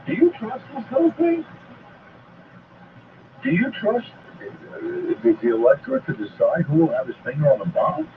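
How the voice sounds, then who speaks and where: A man's voice: high voice, wildly swooping pitch, normal speed, long pauses, recorded from a distance, phone-quality sound, noisy background. A man hosting a call-in radio talk show, speaking in an emphatic, exhorting, repetitive way, heard over a shortwave broadcast. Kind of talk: impassioned political talk radio monologue with call-in appeals.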